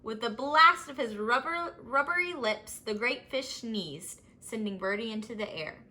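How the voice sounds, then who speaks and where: A woman reading a children's story aloud as scripted, expressive narration. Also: a little high, unhurried. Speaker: storybook reader.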